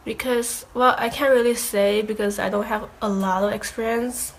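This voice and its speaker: A woman's voice: fairly high pitch, fairly even intonation, normal speed, creaky, rough recording. A young woman, a college student, answering interview questions in a casual, reflective way with many fillers.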